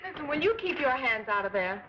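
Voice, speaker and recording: An American woman playing a busy, gently scolding young sweetheart in a 1940s film serial style. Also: high voice, sing-song, a little fast. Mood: lightly scolding. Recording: muffled 1940s film soundtrack, faint noise.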